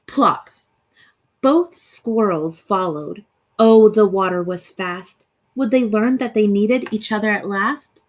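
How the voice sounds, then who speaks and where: A woman reading a rhyming children's story aloud in an expressive, theatrical way with deliberate phrasing. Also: on the high side. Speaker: storybook reader.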